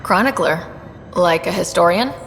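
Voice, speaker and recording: Gravelly, low-voiced wry explorer. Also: female voice, normal speed, fairly bright tone. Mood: curious. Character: young human woman explorer, wry, defiant, determined. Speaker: player character in a sci-fi game. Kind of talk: video game voice acting. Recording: long reverb, faint background noise.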